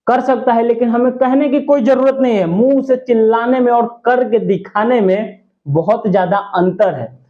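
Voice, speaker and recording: A man's voice: very high voice, a little mellow. A man giving opinionated, emphatic gossip commentary to his viewers, often repeating his points for stress. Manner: emphatic, repetitive, partisan. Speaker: YouTube commentator. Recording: dull lo-fi audio.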